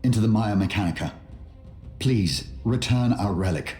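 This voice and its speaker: A man's voice: fairly low pitch, expressive, normal speed, a little mellow, close to an everyday voice. A man playing an embattled, devout defender of a city in scripted fantasy game dialogue. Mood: urgent pleading. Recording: echoey, faint music behind.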